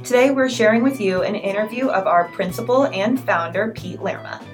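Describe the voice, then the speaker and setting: A woman hosts a podcast and reads a prepared intro in a clear, bright way. Music plays clearly under her voice, with a mid-range pitch. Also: normal speed. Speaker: podcast host. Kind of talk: scripted intro.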